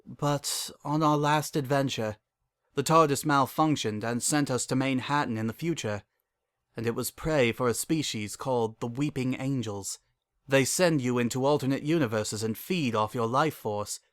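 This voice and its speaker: A narrator voicing a sorrowful, world-weary pony father in a dramatic story, halting and hollow in delivery. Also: mid-range voice, no room sound, clean studio sound, lightly acted. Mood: grave, regretful. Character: guilt-ridden, weary old time-traveling stallion father.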